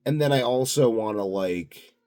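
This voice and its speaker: A man's voice: mid-range voice, animated, normal speed, warm tone. A man delivering a rambling, unscripted vlog monologue as a self-styled expert, grandiose and self-assured, musing aloud. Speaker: self-styled health and sleep expert vlogger.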